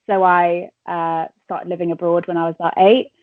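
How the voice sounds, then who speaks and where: A woman in her twenties speaks over a thin, phone-like line with a southern English accent. Her voice sits a little low and the sound is dry. She chats informally, with giggles and plenty of filler "like". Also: little pitch movement, moderate pace, no echo. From Wales. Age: 20s. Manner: chatty and informal, giggles often, frequent "like". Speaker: radio entertainment reporter and presenter being interviewed. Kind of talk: casual interview chat. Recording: thin, narrow phone-like call audio.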